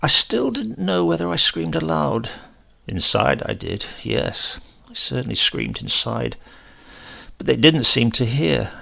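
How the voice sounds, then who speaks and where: A man reading a horror story as a shaken, rambling accident survivor, halting and conversational, brooding and prone to outbursts. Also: medium pitch, big pitch swings, gravelly, pushed and bright, exaggerated voice. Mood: haunted, confiding. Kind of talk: dramatic first-person horror story reading.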